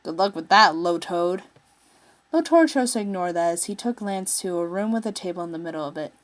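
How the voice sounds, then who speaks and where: A woman's voice: medium pitch, animated, fast talker, mellow. A woman narrating a piece of fiction, reading it aloud from a written text. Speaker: story narrator, fan fiction writer.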